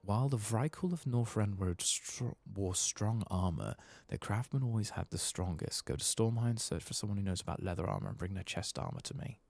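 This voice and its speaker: A man softly reads the part of an earnest craftswoman in a fantasy game, with a creaky edge and lively intonation. The delivery is polite and gentle in volume. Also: fairly low pitch, brisk, few pauses, no echo, full character voice. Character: earnest leather armor craftswoman apprentice, admiring of old craft. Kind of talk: soft-spoken reading of game quest dialogue.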